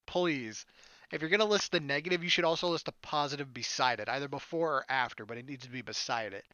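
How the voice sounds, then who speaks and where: A man's voice: medium pitch, quick pace, pushed and bright, no echo. A man doing let's play commentary on a game, reading narration aloud and adding jokey, sarcastic asides. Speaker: gaming let's player.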